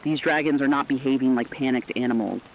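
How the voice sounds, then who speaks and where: A man playing a somber radio correspondent, reading a scripted disaster report in a serious, deliberate, ominous way. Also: medium pitch, steady tone, slight background noise, natural voice, rough recording. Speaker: news correspondent.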